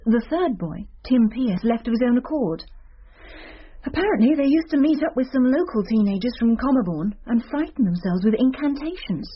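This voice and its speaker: Knowing, conspiratorial female teacher for radio drama, husky voice, dull lo-fi recording. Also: on the high side, animated, moderate pace. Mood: confiding, uneasy. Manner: confiding, conspiratorial. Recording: muffled, lo-fi old radio recording.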